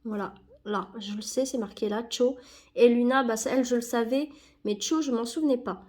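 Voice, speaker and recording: A woman's voice: a little high, fairly quick, darkish tone. A young woman with a cold, chatting casually and correcting herself with many fillers as she sticks stickers into an album. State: has a cold. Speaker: YouTuber opening sticker packs.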